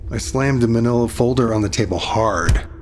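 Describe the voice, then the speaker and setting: A man playing a serious, somber police detective, narrating a crime audio drama in the first person with measured delivery. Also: medium pitch, a little mellow, slight background noise, close to an everyday voice. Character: somber male police detective recounting a serial killer case. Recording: music playing under the voice. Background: music.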